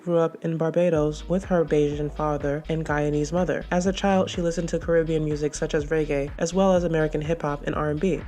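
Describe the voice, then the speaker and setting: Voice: mid-range voice, steady tone, a little fast, hardly any pauses, mellow. A narrator reading a scripted documentary-style video essay. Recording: music bed under the voice. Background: background music.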